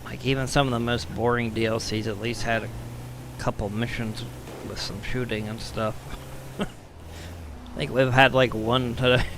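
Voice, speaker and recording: Hoarse man grumbling through gameplay. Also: mid-range voice, flat delivery, normal speed, background noise. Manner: casual, grumbling, wry asides. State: hoarse, losing his voice. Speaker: gaming streamer. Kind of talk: live gameplay commentary.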